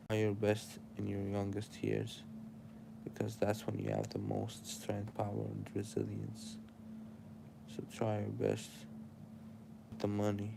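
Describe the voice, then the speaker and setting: Gravelly, tired young man with an Arabic accent. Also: fairly low pitch, background noise. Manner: preachy, addresses his chat directly. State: sleepy and tired. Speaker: livestreamer. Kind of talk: casual livestream chat giving life advice.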